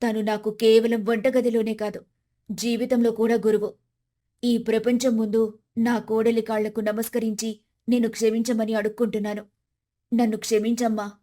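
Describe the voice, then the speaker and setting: A woman's voice: on the high side, little pitch movement, moderate pace, dark tone, lightly acted. A woman playing a humbled, loving older mother-in-law in a moral story, speaking earnestly and with emotion. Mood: grateful, remorseful. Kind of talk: dramatised moral story dialogue.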